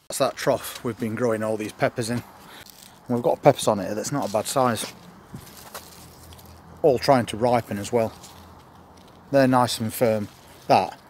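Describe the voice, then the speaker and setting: A man's voice: on the low side, a little hiss or hum. A man in his sixties with an English regional accent gives casual, plain-spoken gardening commentary in an understated way. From United Kingdom. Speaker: gardening vlogger. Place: outdoors in an allotment garden and inside a greenhouse.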